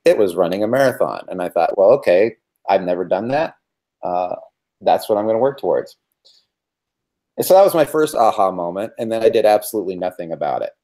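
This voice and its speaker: Self-deprecating man around fifty, low voice. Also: lively intonation, a little fast, mellow. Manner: self-deprecating, emphatic repetitions. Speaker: podcast guest. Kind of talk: candid conversational storytelling.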